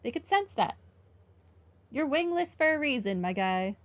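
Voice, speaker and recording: A woman's voice: sits high, sing-song, moderate pace. A woman doing casual, opinionated game commentary, talking back to the characters. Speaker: gaming playthrough host.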